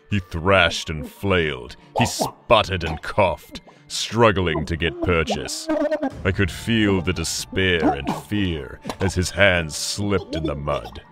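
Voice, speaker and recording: A man voicing a huge, gloating winged demon who relishes battle and narrates an audio drama in a deliberate, theatrical, menacing way, with music behind him. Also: deep voice. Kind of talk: first-person dramatic story narration.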